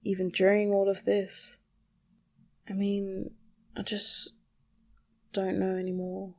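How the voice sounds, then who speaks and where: A woman's voice: mid-range voice, completely flat delivery, slow pace, a little mellow. A woman rambling casually as a solo podcast host, with a self-deprecating, mildly sweary way of talking.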